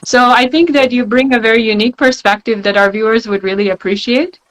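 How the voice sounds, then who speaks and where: A woman's voice: on the high side, steady tone, brisk, low-quality recording. A woman hosting a panel discussion, encouraging her guests and talking with many fillers. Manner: encouraging, filler-heavy, warm praise of guests. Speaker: panel host. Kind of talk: moderating an online panel.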